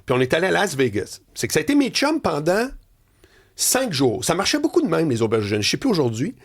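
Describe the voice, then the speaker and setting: A Quebec man with a gravelly, slightly husky voice and a lively pitch that swings up and down. He is a middle-aged radio talk host who tells stories with lots of animation. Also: fairly quick. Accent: Quebec French. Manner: storytelling, laughing, swinging pitch. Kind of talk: animated editorial chat. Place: radio studio.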